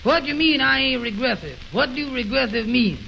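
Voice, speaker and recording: A man playing a naive, slow-witted, questioning fellow in a 1920s radio comedy, with puzzled, halting, folksy ungrammatical talk on an old recording. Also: very high-pitched, expressive, gravelly, pushed and bright, lo-fi sound, slight background noise, heavily acted. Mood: indignant.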